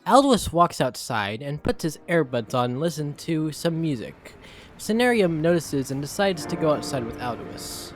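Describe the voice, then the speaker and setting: A man's voice: a little high, very animated, normal speed. A man narrating an amateur dramatic reading from a script, in the role of storyteller. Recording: music behind voice. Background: music.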